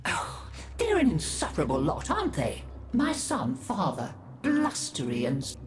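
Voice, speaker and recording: Elderly mother figure, low voice, swooping intonation, gentle, quaint, cosy, wistful, game character. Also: huge pitch swings, moderate pace. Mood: doting. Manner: cosy, old-fashioned, lilting, folksy phrasing. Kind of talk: video game character acting. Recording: music behind the voice.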